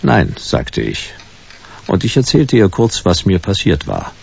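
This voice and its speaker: A man's voice: low voice, wildly swooping pitch, a little slow, gravelly, breathy edge, lo-fi sound, heavily acted. An actor playing a stubborn, hard-boiled private detective narrating his case laconically in a noir radio play. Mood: laconic, brooding. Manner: laconic, dry, clipped sentences.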